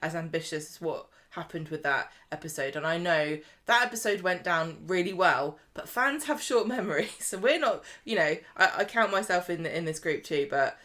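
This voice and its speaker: A woman's voice: lowish voice, moderate pace, few pauses, a bit bright. A woman co-hosting a casual podcast chat, talking in a chatty way with lots of restarts. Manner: chatty, laughs easily, many restarts. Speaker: podcast co-host.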